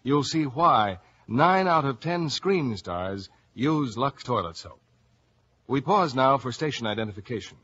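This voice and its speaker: A man's voice: mid-range voice, expressive, unhurried, a bit bright, slightly rough. A male radio announcer reading a 1950s commercial and station break, on a dull broadcast recording.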